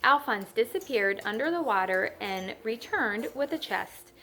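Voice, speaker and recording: A woman's voice: a little high, animated, measured pace, bright, forceful tone, slight background noise. A woman teacher reading a children's story aloud and adding simple explanatory asides.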